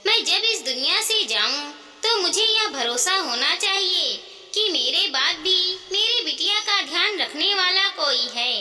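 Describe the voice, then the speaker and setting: Caring old widowed mother-in-law, read by a woman storyteller, earnest and persuasive, very bright, echoey. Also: very high voice, animated, measured pace, full character voice. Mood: earnest, motherly. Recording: echoey, reverberant hall-like sound.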